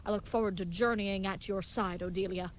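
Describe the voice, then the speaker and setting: A woman's voice: mid-range voice, fairly even intonation, fairly quick, slight background noise, natural voice. A woman playing an earnest, naive and brave young leatherworker in an audio drama, speaking in formal, chivalrous, contraction-free phrases. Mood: gallant, confident. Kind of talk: scripted audio drama acting.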